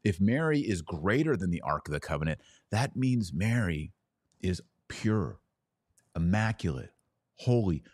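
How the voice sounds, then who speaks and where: A man hosting a podcast, giving an emphatic theological teaching talk with rhetorical questions and dramatic pauses. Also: sits low, big pitch swings, darkish tone. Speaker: podcast host.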